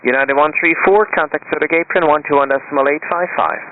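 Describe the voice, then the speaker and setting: A man working as an airport tower controller, reading out clearances in clipped radio phraseology. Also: fairly high pitch, no room sound. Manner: rapid, routine clearances read off in set phrases. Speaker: tower air traffic controller. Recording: tinny radio transmission with faint hiss.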